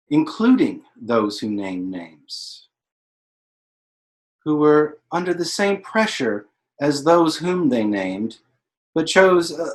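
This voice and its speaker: A man playing a thoughtful, learned narrator, measured and ruminative, in a staged-reading monologue. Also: fairly high pitch, fairly bright tone, low-quality recording. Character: thoughtful, learned narrator reflecting on the Hollywood blacklist. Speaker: stage actor in a play reading.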